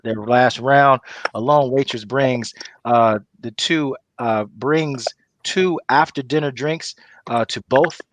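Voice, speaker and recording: A man's voice: medium pitch, no room sound. A man narrating the stage directions of a comedy table read, reading scripted lines with casual asides.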